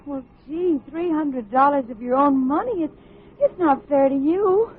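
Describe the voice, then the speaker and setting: A tinny old radio recording of a timid, earnest young sweetheart. Her voice is very high, hesitant and full of feeling, in classic radio drama style. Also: lively intonation, measured pace. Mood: wondering, hesitant. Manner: hesitant, emotional. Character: earnest, timid, grateful young woman in love.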